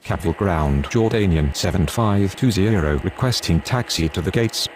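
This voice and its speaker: A man's voice: low-pitched, animated, normal speed, darkish tone, a little hiss or hum. A man voicing a businesslike airport radio operator in a game, speaking clipped aviation phraseology. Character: male pilot and controller on airport radio, businesslike. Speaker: air traffic radio voice in a mobile game.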